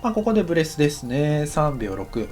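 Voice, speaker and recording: A man giving a casual, explanatory tutorial as an anime director, thinking aloud in short halting phrases. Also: fairly high pitch, very animated, darkish tone, faint music behind. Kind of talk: instructional lesson narration, casual and explanatory.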